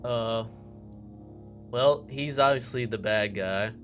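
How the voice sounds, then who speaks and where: A man's voice: medium pitch, takes it slowly. A man chatting casually with friends as a player in a tabletop game. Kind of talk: casual chat among friends. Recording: music playing behind the voice. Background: music.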